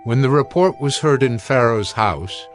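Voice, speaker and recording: A man narrating scripture in a measured, solemn reading. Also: medium pitch, expressive, faint music behind.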